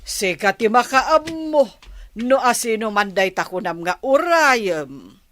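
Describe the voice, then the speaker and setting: A woman's voice: on the high side, big pitch swings, takes it slowly, fairly bright tone, exaggerated voice. A woman with an Ilocano Filipino accent playing a haughty, dismissive older mistress of the house in a radio drama.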